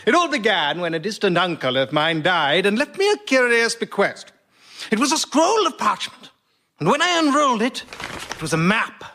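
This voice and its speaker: A man's voice: sits high, sing-song, moderate pace, pushed and bright, full character voice. A British man playing a grandiloquent raconteur telling tall stories in a comic radio monologue, pompous and theatrical. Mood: grandly dramatic.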